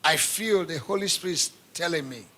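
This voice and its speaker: A man preaching a sermon in a church as bishop, speaking in short phrases that switch between English and French and breaking into shouted scripture. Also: a little high, normal speed. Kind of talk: sermon with live interpretation.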